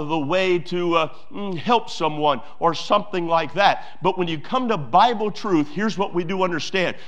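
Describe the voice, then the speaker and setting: A man with a somewhat high voice delivers a sermon to his congregation. He preaches with emphasis, repeating key phrases and stopping for fillers as he goes. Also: dull audio. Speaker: pastor.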